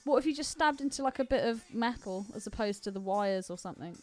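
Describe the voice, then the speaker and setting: A woman with a high voice talks casually and candidly, as a podcast co-host would. Her delivery is lively and slangy, with big swings in pitch. Faint music plays behind her. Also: fast talker. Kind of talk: casual film chat.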